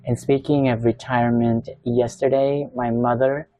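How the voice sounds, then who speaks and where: A man from the Philippines with a Filipino accent, talking in a chatty, easygoing way as he hosts a reaction video. His voice is mellow and warm and sits at an ordinary pitch. He often echoes short phrases back to himself. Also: level tone, normal speed. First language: Ilonggo. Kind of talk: casual reaction commentary.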